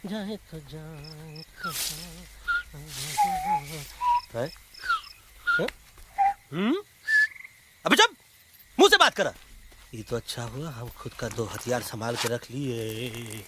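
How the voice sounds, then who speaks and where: Casting: boastful cartoon monkey leader, Bhojpuri-flavoured accent, drawn-out bossy delivery, big pitch swings, music underneath. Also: big pitch swings, heavy background noise. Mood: grumbling, sly. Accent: eastern Hindi belt, Bhojpuri-flavoured. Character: boastful, bossy, excitable monkey ringleader with pompous swagger. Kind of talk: animated film voice acting.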